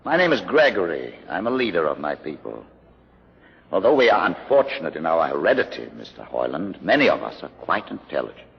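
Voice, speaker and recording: An actor playing an intelligent mutant leader in an old radio drama, speaking in a courteous, measured, formal way with cool pride. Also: male voice, low-pitched, big pitch swings, creaky, husky, exaggerated voice. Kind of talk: 1950s radio drama acting. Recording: muffled old radio recording, long reverb.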